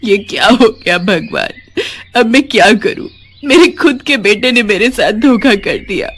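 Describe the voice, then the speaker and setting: A woman's voice: fairly high pitch, expressive, a little slow, a bit bright, a little hiss or hum. A woman voicing a kind, forgiving elderly village mother in a moral story, tender and pleading. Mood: tearful, distressed. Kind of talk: animated moral story dialogue.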